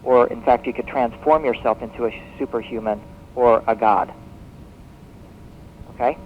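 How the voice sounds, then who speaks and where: A man's voice: mid-range voice, steady tone. Man explaining over tinny shortwave radio. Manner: halting, expository, repeats words as he explains. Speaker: guest expert on a radio show. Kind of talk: explanatory radio interview talk. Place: radio broadcast, taped off air. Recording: thin, noisy shortwave radio broadcast on cassette.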